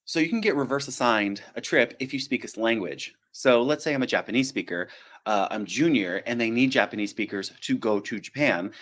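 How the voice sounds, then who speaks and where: This is a man, talking casually on a live stream about his work as a flight attendant. He rambles in a friendly way, teases himself and slips into quick impressions of coworkers and the airline. It sounds like he is at home, close to a desk mic. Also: medium pitch, fairly bright tone. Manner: chatty, self-deprecating, digresses and acts out quotes.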